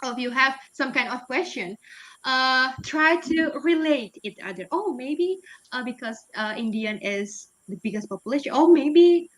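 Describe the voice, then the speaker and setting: A woman's voice: high voice, lively intonation, moderate pace, fairly bright tone, rough recording. A young woman with an Indonesian accent, answering webinar questions in a chatty way, laughing often, with many fillers and restarts. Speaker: guest speaker, English teacher.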